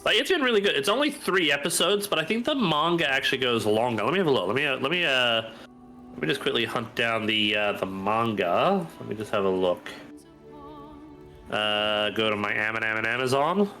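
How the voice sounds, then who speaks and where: A man's voice: medium pitch, moderate pace, pushed and bright, soft background music. A man with a stuffy nose from hay fever, chatting casually on a live stream and thinking aloud as he browses. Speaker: stream co-host.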